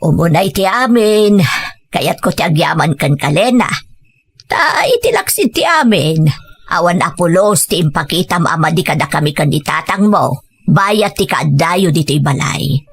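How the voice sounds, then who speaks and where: A woman's voice: fairly low pitch, very animated, moderate pace, breathy edge, faint music behind, full character voice. A woman playing a solemn, secretly caring older mother-in-law in an audio drama, speaking sincerely. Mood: apologetic.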